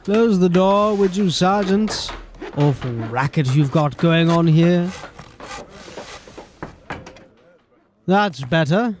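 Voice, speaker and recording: An actor playing a stern, exasperated police captain in a fantasy audio drama, sardonic, clipped and authoritative. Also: male voice, high voice, expressive, unhurried, darkish tone, faint music behind. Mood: brisk displeasure.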